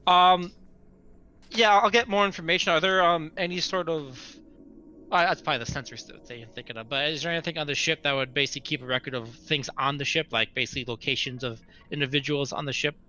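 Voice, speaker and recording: A man's voice: fairly high pitch, fast talker, bright, forceful tone. A man chatting casually as a tabletop game player, tentatively thinking aloud about his next move.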